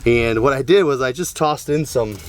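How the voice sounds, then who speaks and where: Sick man with a raspy voice. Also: medium pitch, moderate pace, a little hiss or hum. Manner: upbeat, chatty, talks to viewers directly. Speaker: urban homestead vlogger. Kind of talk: casual walkthrough vlog. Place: small backyard greenhouse.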